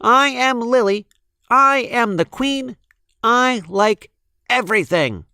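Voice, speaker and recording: A man's voice: very high-pitched, expressive, takes it slowly, vocal fry, pushed and bright, clear, resonant tone, no room sound, studio quality, voice pushed up, full character voice. A man voicing a bossy, self-assured little girl mouse in a children's storybook. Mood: exuberant. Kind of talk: children's storybook character reading.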